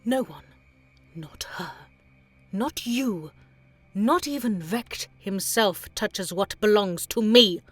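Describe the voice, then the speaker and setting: A woman playing a cruel, imperious alien ruler in an audio drama, threatening and possessive, with a breathy, hissing delivery. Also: medium pitch, lively intonation, faint music behind. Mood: cold menace. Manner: slow, threatening, commanding. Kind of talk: scripted audio drama acting.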